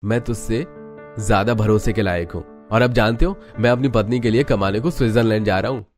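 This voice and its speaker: A man's voice: fairly low pitch, brisk, darkish tone, faint music behind, close to an everyday voice. A man voicing a boastful, idle young husband in a cartoon story, brash, self-assured and dismissive.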